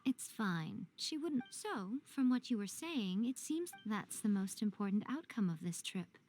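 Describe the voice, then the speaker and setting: A woman's voice: medium pitch, lively intonation, a little slow, a little mellow, soft background music, close to an everyday voice. A woman playing a sly, worldly-wise woman in a video game, with an arch, amused and teasing manner. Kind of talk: video game character dialogue.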